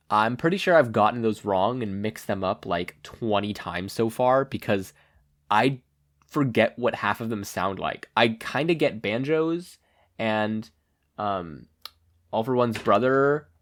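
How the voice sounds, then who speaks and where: A man reading stories aloud as an expressive podfic narrator. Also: on the low side. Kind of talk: expressive fan fiction narration.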